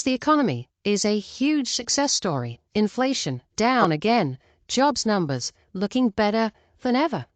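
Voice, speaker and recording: A woman's voice: mid-range voice, dry recording. A woman hosting opinionated political commentary, speaking to viewers in an earnest, emphatic way. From outside the United States.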